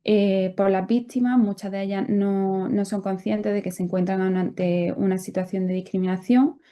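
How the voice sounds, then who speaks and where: A woman's voice: mid-range voice, flat delivery, moderate pace, mellow, highs cut off. A woman presenting her nonprofit's project at an online event, earnest and hesitating often.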